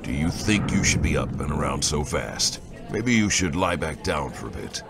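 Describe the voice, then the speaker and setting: A stubborn older veteran with a deep voice and an insistent, arguing manner. It is a fantasy audio drama, with music clearly underneath. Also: male voice, expressive, normal speed, exaggerated voice. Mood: concerned. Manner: insistent, argumentative.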